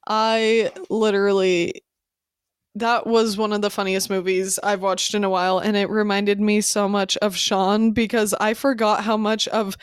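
A woman's voice: mid-range voice, little pitch movement, a little slow, smooth, dry recording, studio quality. A woman co-hosting a podcast in casual chat, a little stuffy from allergies, bubbly and full of "like". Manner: bubbly, says "like" a lot. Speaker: podcast co-host.

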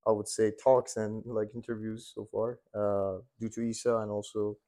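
A man's voice: on the low side, level tone, normal speed, dark tone. A young man in his twenties from Iran, a dental student answering interview questions in a hesitant way, with many fillers and restarts. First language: Persian. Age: 20s.

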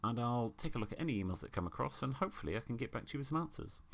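A man hosting a casual podcast interview, chatty and quick to laugh. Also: mid-range voice, creaky. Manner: chatty, laughs easily, lively delivery. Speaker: podcast host.

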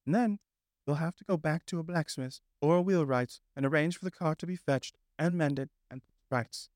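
A man voicing a practical male water rat who speaks firmly and briskly. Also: on the high side, a little mellow, no room sound, clean studio sound, pitched above the performer's own voice, natural voice. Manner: firm, brisk, exasperated.

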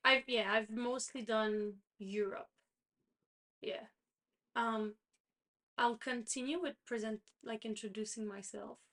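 A bright, somewhat high voice from a young woman with a Quebec French accent. French is her first language, and she chats playfully in English as a podcast co-host. She giggles often between her lines. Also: fairly even intonation, slow pace, pauses often. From Quebec, Canada. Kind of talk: casual chat.

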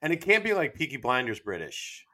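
A man's voice: mid-range voice, very animated, brisk, pushed and bright. A man with a Midwestern American accent, co-hosting a podcast in casual, teasing banter. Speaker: podcast co-host.

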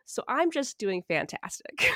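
A woman's voice: fairly high pitch, big pitch swings, unhurried, sharp tone, no echo, studio quality. Sick woman podcaster, a bit nasal. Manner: wry, self-mocking, laughs often. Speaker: podcast co-host. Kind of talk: casual chat.

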